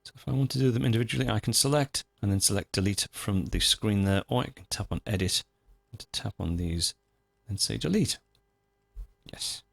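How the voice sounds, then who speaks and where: A man presenting a software tutorial, talking informally and methodically through each step as he goes. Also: lowish voice, fairly quick, creaky, no room sound. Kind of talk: screencast tutorial, unscripted step-by-step narration.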